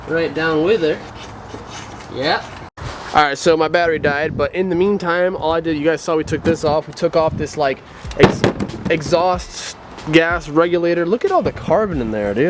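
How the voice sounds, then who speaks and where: A young man casually chatting to viewers while working on a car engine, excited and slangy. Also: fairly high pitch, background noise. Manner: excited, slangy, talks to viewers as "you guys". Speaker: car build vlogger. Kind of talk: casual garage chat to camera.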